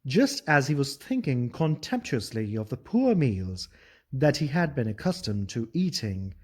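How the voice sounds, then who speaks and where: A storyteller giving a deliberate, expressive scripted reading of a fable for an audiobook. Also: medium pitch, slightly rough.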